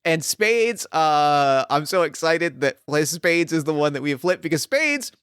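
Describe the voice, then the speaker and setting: Male game master and host, enthusiastic, crisp delivery, close dry sound. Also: fairly high pitch, very animated, measured pace, a bit bright, no room sound. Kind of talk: guiding a tabletop roleplaying game.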